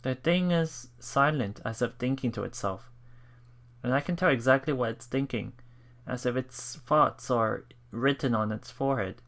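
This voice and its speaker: A man with a mid-pitched, creaky voice hosts a let's play. He reads visual novel narration aloud and haltingly thinks out loud as he goes. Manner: halting, thinking aloud between read passages. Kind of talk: reading visual novel text aloud with commentary.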